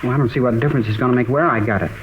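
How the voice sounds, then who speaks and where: A man's voice: medium pitch, racing, close to an everyday voice. A man playing a defiant, evasive fifteen-year-old American schoolboy in a mid-century radio drama. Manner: evasive, sullen, colloquial. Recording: dull, lightly noisy old radio recording.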